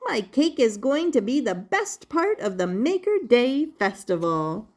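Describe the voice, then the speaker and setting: A woman's voice: fairly high pitch, very animated, unhurried, darkish tone, slight background noise. A woman voicing a proud, busy little male baker in a storybook, exclaiming and boastful.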